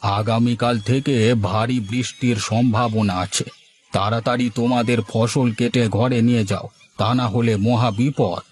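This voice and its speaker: A man's voice: mid-range voice, steady tone, normal speed, a little hiss or hum, close to an everyday voice. A man voicing a folksy male village farmer. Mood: urgent advice. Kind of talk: cartoon moral-story dialogue.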